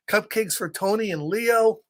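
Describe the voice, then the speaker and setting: A middle-aged man hosts a live story time for children. He reads from a picture book in an animated, playful way. He breaks off often to chat, ask questions and greet listeners by name. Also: high-pitched. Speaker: storybook read-aloud host.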